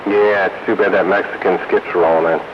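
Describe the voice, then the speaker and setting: Deep-voiced man on noisy CB radio. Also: steady tone, a little fast. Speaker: host of a CB radio hobbyist roundup. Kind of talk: casual radio chat. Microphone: CB radio microphone. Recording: noisy, dull CB radio transmission.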